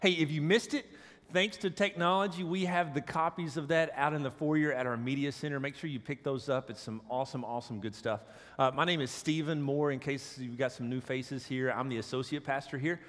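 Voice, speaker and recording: A man with a head cold delivers a casual, joking sermon in a church sanctuary, his usually higher voice pulled lower. His words ring out with a long hall reverb. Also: steady tone, brisk, fairly bright tone. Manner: joking, conversational preaching with audience asides. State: has a cold, voice deeper than usual. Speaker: associate pastor.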